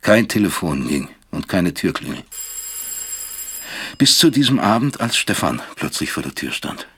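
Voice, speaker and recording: An actor playing a weary, brooding older professor who reflects gravely in a radio drama. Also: male voice, low-pitched, very animated, measured pace, pushed and bright, full character voice. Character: weary, brooding male East German professor, troubled narrator. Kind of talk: radio drama acting and narration.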